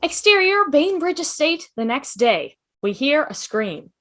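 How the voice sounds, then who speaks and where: High, very lively woman reading stage directions. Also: a little slow. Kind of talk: scripted narration for an online table read. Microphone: video call.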